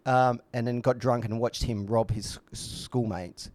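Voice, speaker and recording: A man's voice: medium pitch, steady tone, measured pace, darkish tone, no echo. Blunt male podcast host, dry close sound. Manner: blunt, sweary, opinionated. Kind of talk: casual, rambling podcast conversation.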